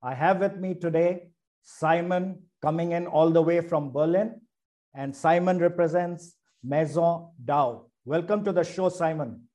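A man's voice: fairly high pitch, unhurried, dark tone. A man hosting an interview show, introducing his guest and asking questions in a polite, formal way. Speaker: show host.

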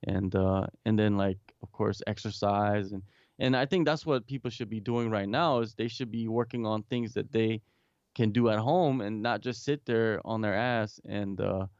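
A man's voice: on the low side, moderate pace, a little mellow, no room sound, highs cut off. A man talking from home in a casual remote interview, rambling with many restarts and fillers. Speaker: guest, MMA interviewer and English teacher.

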